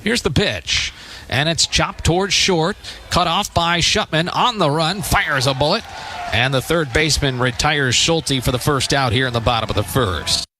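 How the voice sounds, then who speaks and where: A man's voice: a little high, normal speed, pushed and bright, a little hiss or hum. A man doing live radio play-by-play of a baseball game in short, punchy, descriptive phrases. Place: open-air stadium press perch.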